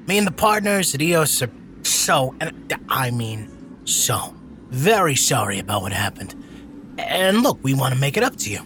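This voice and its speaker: A man's voice: medium pitch, sing-song, moderate pace, fairly bright tone, faint music behind. An actor playing a brash, smarmy personal trainer and spokesman in an audio drama, with glib, over-friendly, chuckling patter. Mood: smarmy sympathy.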